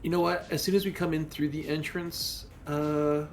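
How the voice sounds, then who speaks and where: A man's voice: a little high, level tone, moderate pace. A man taking part in a tabletop roleplaying game, talking casually and in character. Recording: music playing underneath.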